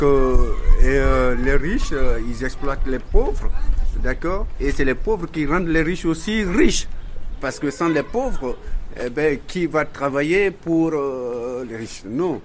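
A man giving an opinionated, off-the-cuff answer in a street interview, pausing and posing rhetorical questions. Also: mid-range voice, slight background noise.

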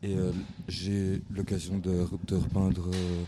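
A man's voice: deep voice, monotone, unhurried, warm tone, slight background noise. A man who lives in a squat and makes art, answering questions tersely with hesitant fillers. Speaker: interviewee, squat resident and artist. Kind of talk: casual interview answer.

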